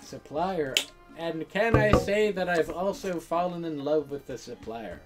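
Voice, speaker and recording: A woman's voice: on the low side, measured pace, over loud music. A woman reading a visual novel aloud and adding casual commentary as host of a gaming video.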